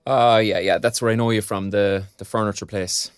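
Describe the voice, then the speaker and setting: A man's voice: fairly low pitch, fairly even intonation, moderate pace, smooth, dry recording, studio quality, lightly acted. A male actor playing a preoccupied young graduate in an audio drama, with an Irish accent and a hesitant, self-deprecating manner. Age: young adult. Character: wry, preoccupied young arts graduate job-hunting. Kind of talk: naturalistic audio drama acting.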